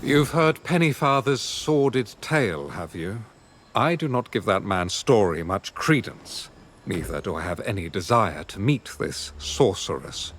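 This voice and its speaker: A man playing a guarded, sceptical adventurer in a fantasy video game, speaking in a measured, formal, cautioning way. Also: lowish voice, fairly bright tone, slight background noise, exaggerated voice. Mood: sceptical, wary. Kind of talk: video game dialogue acting.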